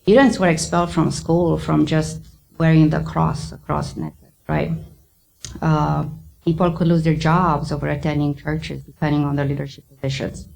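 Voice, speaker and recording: A middle-aged woman with a Romanian accent giving a thoughtful public lecture, often pausing with ums. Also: low voice, level tone, creaky, darkish tone, rough recording. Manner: thoughtful, hesitant with many ums. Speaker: psychiatrist giving a talk.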